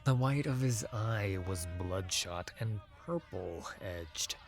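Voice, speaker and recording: Narrator, male: fairly deep, solemn, unhurried dramatic audiobook read over a music bed. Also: animated. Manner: measured, solemn.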